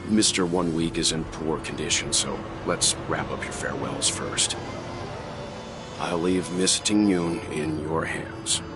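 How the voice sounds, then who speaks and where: An actor playing a calm, courteous mentor in a video game, explaining things in a polite, measured way. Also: male voice, extremely low pitch. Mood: calm, reassuring. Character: composed, courteous gentleman, calm older mentor of the group. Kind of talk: scripted video game voice acting. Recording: loud music behind the voice.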